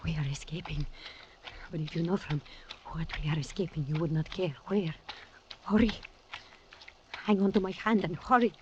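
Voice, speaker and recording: A woman's voice: lowish voice, normal speed, long pauses, darkish tone, dull audio, lightly acted. An actress playing a fierce, weary, defiant woman of a port underworld in a 1940s radio drama, theatrical and commanding. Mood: hushed. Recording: restored 1940s radio broadcast, audible noise.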